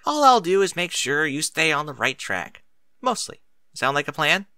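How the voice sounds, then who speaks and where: A man's voice: fairly high pitch, big pitch swings, moderate pace, bright, forceful tone, no echo, studio quality, pitched above the performer's own voice, full character voice. Man voicing a bookish, lilting pony mare. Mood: encouraging. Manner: precise, bookish. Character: studious female pony, helpful, wry know-it-all.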